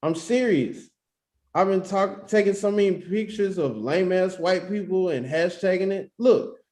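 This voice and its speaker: A man's voice: sits high, unhurried, mellow. A man acting a brash, teasing relative of a groom in a comedy table read, casual and slangy, in an echoey room. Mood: amused.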